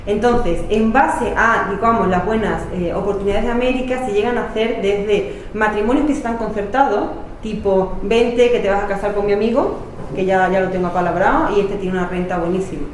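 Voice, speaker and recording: A woman's voice: mid-range voice, steady tone, brisk, distant mic. Spanish woman lecturing in an echoey room. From Spain. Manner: explanatory, many fillers and rhetorical tags. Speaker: history lecturer. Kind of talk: academic talk. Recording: echoey room, speaker off-mic, faint noise.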